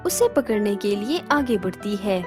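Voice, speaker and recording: A woman's voice: mid-range voice, sing-song, a little slow, music in the background. A woman narrating a scripted story for children.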